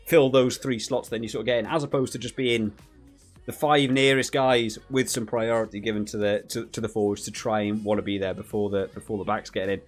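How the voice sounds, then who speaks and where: Casual man rambling through game commentary. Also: mid-range voice. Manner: rambling, thinks aloud, often rephrasing. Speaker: gaming channel host reviewing a rugby video game.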